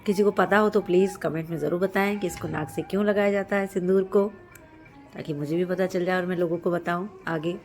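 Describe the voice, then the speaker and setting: Hoarse-voiced woman vlogging on a rooftop. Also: on the low side, fairly even intonation, a little fast, a little mellow, soft background music. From Madhya Pradesh, India. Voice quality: husky. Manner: explanatory, devotional. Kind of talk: casual vlog narration. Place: outdoors on a rooftop.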